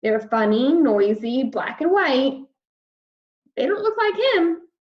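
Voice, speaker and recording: A woman's voice: high-pitched, sing-song. A woman reading a picture book aloud to young children, expressively, with simple explanations along the way. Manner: slow, simple explaining addressed to young listeners. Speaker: read-aloud storyteller, teacher of young children. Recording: roomy echo, slightly dull sound.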